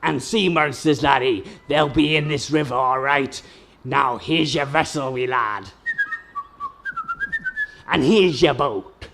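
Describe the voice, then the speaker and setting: Creaky, hearty old captain. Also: male voice, medium pitch, slow, pauses often, a bit bright, slight background noise. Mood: jovial. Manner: hearty, drawling pirate talk. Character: gruff, cheery one-eyed old pirate sea captain. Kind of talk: comic radio sketch acting.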